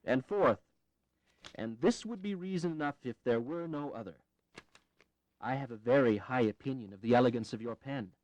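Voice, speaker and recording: A man's voice: mid-range voice, no room sound, natural voice, low-quality recording. An actor playing a blunt, fiery and eloquent congressman from Massachusetts in a historical audio drama, earnest and wry, with formal, emphatic phrasing.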